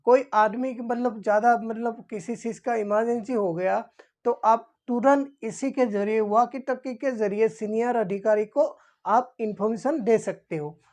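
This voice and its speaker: A woman's voice: on the high side, unhurried, darkish tone. A woman explaining things as a teacher, repeating key terms as if dictating them. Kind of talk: explanatory lesson for security guards.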